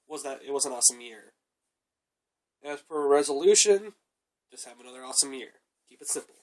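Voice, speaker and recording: A young man with a cold, giving a rambling, casual review of his card deck and filling his talk with "though" and "uh". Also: medium pitch. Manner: rambling, repeated fillers like "though" and "uh". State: sick with a cold, coughing.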